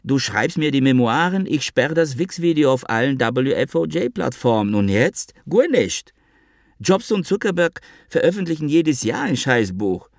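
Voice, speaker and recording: A man voicing a whiny, blustering, fat rich older man who talks in a high, wheedling way. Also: big pitch swings, normal speed, gravelly, dry recording, clean studio sound. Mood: whiny blackmail. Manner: whining, wheedling, high and squealing.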